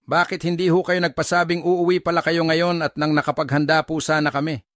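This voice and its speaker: A woman's voice: deep voice, level tone, brisk, no room sound, clean studio sound, voice pushed down, lightly acted. A woman voicing a polite, deferential male security guard in his thirties in an audio story. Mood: respectful. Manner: polite, respectful, servile.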